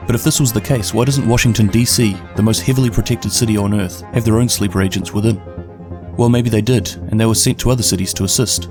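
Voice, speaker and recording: A man with a New Zealand accent delivering scripted narration as a video host. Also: lowish voice. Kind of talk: scripted narration of game lore. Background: prominent background music.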